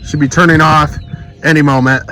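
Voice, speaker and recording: A man's voice: fairly high pitch, little pitch movement, normal speed, sharp tone, a little hiss or hum. A man giving casual, off-the-cuff vlog commentary. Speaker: vlogger filming a tornado drill.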